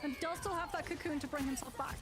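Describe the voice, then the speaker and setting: A woman's voice: high-pitched, steady tone, fast. A woman giving live color commentary on an esports match, steadily breaking down the plays.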